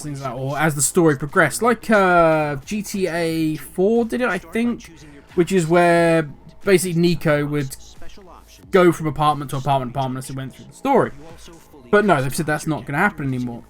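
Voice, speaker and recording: Older male gaming host, slightly raised pitch, rambling and grumpy in tone. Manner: talkative, rambling, self-correcting; cynical, grumbling. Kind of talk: casual commentary video.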